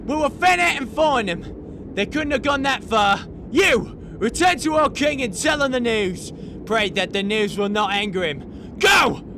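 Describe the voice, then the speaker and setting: A man playing a stern, commanding nutcracker captain in an audio drama, shouting military orders. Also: very high-pitched, lively intonation, measured pace, background noise, exaggerated voice. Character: barking nutcracker captain, stern, commanding, fearful of his king.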